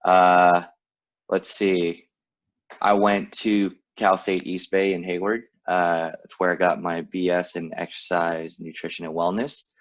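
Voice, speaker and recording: A very low-voiced man in his late twenties chats easily on a podcast, with fillers and frequent laughs. The audio sounds a little dull and lo-fi. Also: little pitch movement, measured pace. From Vietnam, raised in California. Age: late 20s. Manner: laid-back, laughs often, lots of fillers. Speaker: podcast co-host. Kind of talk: casual chat.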